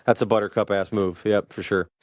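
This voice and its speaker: A man co-hosting a podcast in a casual, halting, rambling way, thinking aloud as he goes. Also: lowish voice, flat delivery, no room sound, clean studio sound. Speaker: podcast co-host.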